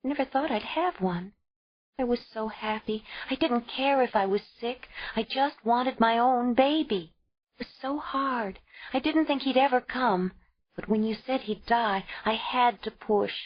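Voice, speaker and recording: A woman's voice: fairly high pitch, voice pushed up. Woman voicing Ayla, a desperate young mother. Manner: pleading, tearful, insistent. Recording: dull lo-fi audio.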